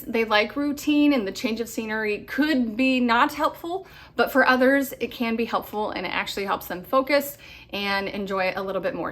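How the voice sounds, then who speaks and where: A woman's voice: medium pitch, a bit bright. A woman in her thirties giving upbeat, chatty homeschool advice to camera, often laughing. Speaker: homeschool vlogger. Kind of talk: casual advice talk to camera.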